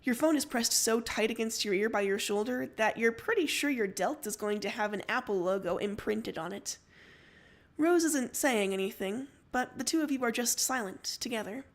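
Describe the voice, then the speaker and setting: Narrator: woman, fairly high-pitched, expressive read-aloud fiction delivery. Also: moderate pace. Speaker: story narrator. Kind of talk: expressive fiction reading on a livestream.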